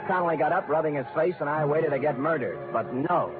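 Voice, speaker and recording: A man's voice: a little high, fairly quick, close to an everyday voice. An actor playing a hard-boiled American private detective in vintage radio noir style, with a wry, clipped tough-guy delivery. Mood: tense narration. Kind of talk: 1940s-50s radio noir acting and first-person narration. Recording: narrow-band vintage radio recording, faint music.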